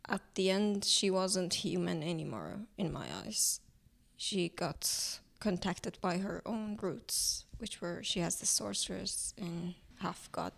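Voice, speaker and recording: Gravelly-voiced Iranian woman with halting speech. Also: lowish voice. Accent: Iranian, speaking English as a later language. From Iran. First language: Turkish. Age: young adult. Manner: thoughtful, halting, searching for words. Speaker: artist guest. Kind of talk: reflective interview conversation.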